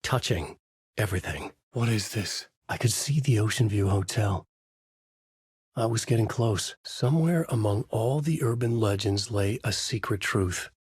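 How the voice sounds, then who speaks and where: A man narrating a dark thriller game as a brooding, haunted writer, in a deliberate, literary, dramatic delivery. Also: lowish voice, slightly rough, no echo. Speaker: voice actor playing a writer protagonist who narrates.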